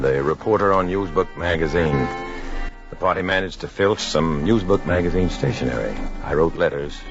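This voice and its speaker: An actor playing a weary, wry undercover infiltrator in a 1950s radio drama. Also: male voice, sits low, close to an everyday voice. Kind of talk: 1950s radio drama acting and first-person narration. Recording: dull old radio recording with music.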